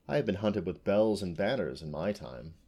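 A man's voice: low voice, expressive, normal speed, dark tone, slightly rough, heavily acted. A man voicing a proud, ancient female unicorn from a storybook, haughty and formal in manner. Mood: musing, regal.

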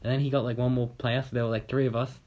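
A man's voice: medium pitch, little pitch movement, racing, darkish tone. A young man from Australia telling a long, rambling story in casual chat on a podcast, swearing casually and acting out what people said.

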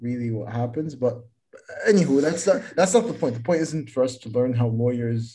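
A man's voice: medium pitch, expressive, fast talker, warm tone. A man in his twenties co-hosting a podcast, chatting casually and rambling, often repeating words as he starts sentences. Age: 20s. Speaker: podcast co-host. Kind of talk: casual chat.

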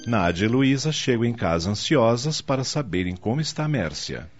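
A man's voice: medium pitch, very animated, normal speed. A man narrating a radio soap in a dramatic, scripted style. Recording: dull lo-fi audio, music underneath.

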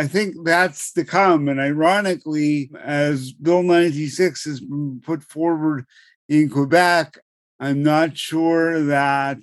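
A middle-aged man on a podcast, explaining legal matters in a measured way and repeating words as he starts each thought. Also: fairly high pitch, no echo. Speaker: podcast co-host explaining law. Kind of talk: explanatory conversational chat.

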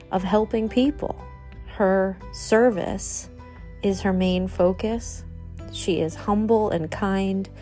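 A woman's voice: on the low side, mellow. A woman hosting an interview show, introducing and questioning in a conversational way. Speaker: show host.

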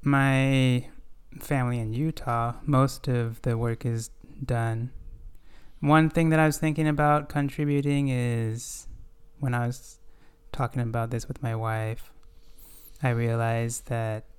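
A man's voice: mid-range voice, little pitch movement, clear, resonant tone. A man hosting a podcast, talking through scripture and family history in an earnest, reflective way. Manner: earnest, reflective, halting with fillers. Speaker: podcast host. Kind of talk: casual scripture discussion.

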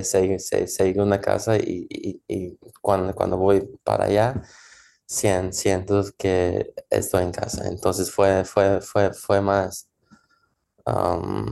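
Stammering man with a gravelly low voice. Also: dark tone. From United States. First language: English. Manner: halting, stammers and repeats syllables often. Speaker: guest, professional tennis player. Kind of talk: casual interview answers.

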